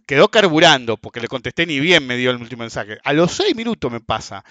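Blunt Rioplatense man ranting, lively pitch. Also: fast talker, no room sound. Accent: Rioplatense Spanish. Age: 40s. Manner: blunt, crude, repeats "ok?". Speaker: market commentator. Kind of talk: casual ranting monologue. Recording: dull dry lo-fi sound.

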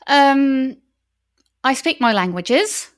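A woman's voice: high voice, lively intonation, extremely slow. A Dutch woman in her thirties, a native Dutch speaker talking in English as a wedding officiant in a podcast interview, enthusiastic, with many fillers and restarts. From Netherlands.

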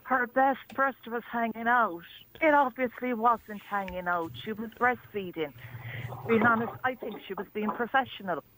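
A woman's voice: on the high side, moderate pace, fairly bright tone, slight background noise, poor audio. Blunt Irish woman calling in. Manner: blunt, insistent. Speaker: radio phone-in caller. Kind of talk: conversational debate.

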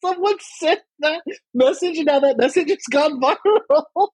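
A man co-hosting a podcast in casual comedic chat, excitable and giggly in manner. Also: very high-pitched, a little slow, no echo. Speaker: podcast co-host.

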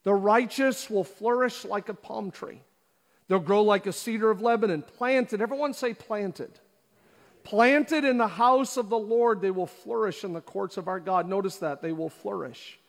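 Pastor, male, high voice, preaching with rhetorical questions, reverberant church auditorium. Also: moderate pace, creaky. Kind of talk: sermon. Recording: long hall reverb.

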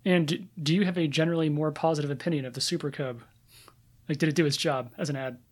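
Quick-talking man in casual conversation. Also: a little high, fairly even intonation. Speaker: podcast co-host. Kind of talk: casual chat.

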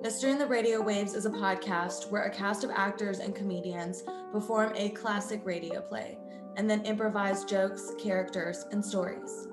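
Female host for a scripted podcast intro, medium pitch, echoing room, music underneath. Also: fairly even intonation, normal speed.

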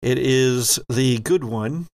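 A man hosting and narrating a tabletop horror game in a measured, theatrical way. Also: mid-range voice, a little mellow, dry recording. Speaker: game master and podcast host.

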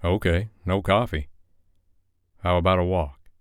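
A man voicing a calm, patient young man who speaks in a soft, coaxing way. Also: sits low, a little slow, clean tone, no echo, studio quality.

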